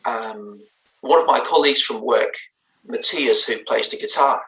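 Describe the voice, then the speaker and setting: A man's voice: medium pitch, unhurried, fairly bright tone, reverberant, recorded from a distance, low-quality recording. A man with a Danish accent, a native Danish speaker, answering interview questions in a thoughtful, hesitant way with many fillers and restarts. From Denmark. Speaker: band's lead singer. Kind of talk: remote interview chat.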